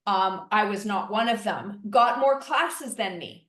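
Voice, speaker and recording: A woman's voice: medium pitch, measured pace, fairly bright tone, recorded from a distance. A woman hosting a podcast, talking in an enthusiastic, emphatic way. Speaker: podcast host. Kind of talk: casual podcast conversation. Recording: echoey voice from off the mic.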